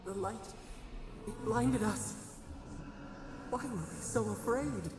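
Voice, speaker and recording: Casting: haunting imprisoned spirit entity, cryptic and fragmented, very creaky, cavernous far-off reverb, game cinematic. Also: medium pitch, takes it slowly, background noise. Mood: anguished. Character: trapped, frightened, cryptic ancient spirit entity. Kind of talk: scripted dramatic game acting. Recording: cavernous reverb, distant, music behind.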